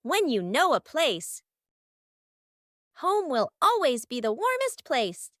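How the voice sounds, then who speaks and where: A woman's voice: high-pitched, wildly swooping pitch, slow pace, a bit bright, no room sound, clean studio sound. A woman narrating a children's cartoon from a script, in short, simple storybook sentences.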